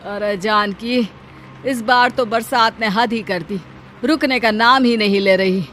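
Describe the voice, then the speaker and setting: Cartoon story husband played by a woman: poor labourer, worried and earnest, slightly high voice. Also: steady tone, a little slow, fairly bright tone, a little hiss or hum. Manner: fretful, earnest. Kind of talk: cartoon moral story dialogue.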